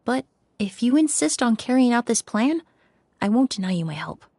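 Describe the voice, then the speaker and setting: A woman's voice: on the high side, sing-song, normal speed, dark tone, slight background noise. An actress playing a composed, protective, cautious young woman in a video game, earnest and poised. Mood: reserved. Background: faint music.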